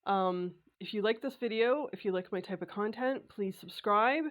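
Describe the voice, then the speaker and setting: A woman vlogging about her sewing, her voice raspy and congested as she gets over a cold, chatting casually with lots of filler sounds and restarts. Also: medium pitch, normal speed. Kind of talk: casual talk to camera.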